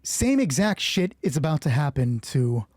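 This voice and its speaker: A man's voice: on the high side, sing-song, moderate pace, a little mellow, no room sound. A man game mastering a solo roleplaying game, thinking aloud through dice rolls in casual, sweary commentary.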